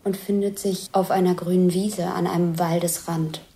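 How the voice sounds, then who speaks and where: An actress playing an observer who plainly describes a fairy-tale scene for a radio drama, feeling for words. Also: female voice, fairly low pitch, level tone, moderate pace, dark tone, natural voice. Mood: observing.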